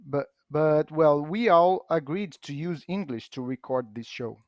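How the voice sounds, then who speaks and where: Portuguese-native man, podcast guest, patient and halting, dry recording. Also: on the high side, darkish tone, dry recording, lo-fi sound. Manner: patient, halting with frequent restarts and fillers. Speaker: podcast guest explaining software. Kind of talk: casual explanatory chat.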